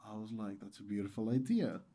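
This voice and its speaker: Low, mellow-voiced young man, earnest. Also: brisk. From Qatar. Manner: earnest, enthusiastic, emphatic repetition. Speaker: interview guest, startup founder. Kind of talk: conversational storytelling interview.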